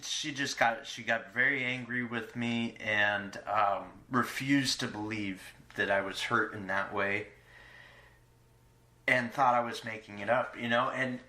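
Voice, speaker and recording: A man hosting a podcast, speaking haltingly and hesitantly in a personal, philosophical conversation. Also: mid-range voice, bright, forceful tone. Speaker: podcast host, interviewer. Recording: echoey room sound.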